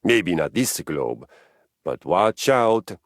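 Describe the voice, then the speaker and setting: An actor playing a boastful, brutal Viking-like fitness object in a video game, grandiose and formal, with a gravelly, bellowing voice. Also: male voice, deep voice, sing-song, slow, no echo, heavily acted. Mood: bellowing warlike. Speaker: dating game character. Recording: dry studio recording.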